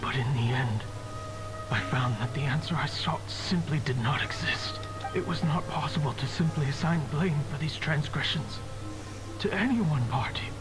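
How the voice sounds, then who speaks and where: Casting: male actor for weary, solemn scholar's spirit, fantasy game, formal measured monologue, music underneath. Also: on the high side, close to an everyday voice, low-quality recording. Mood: bleak, weary. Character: weary, despairing scholar's lingering mind fragment, grandfatherly, solemn. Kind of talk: video game dramatic monologue.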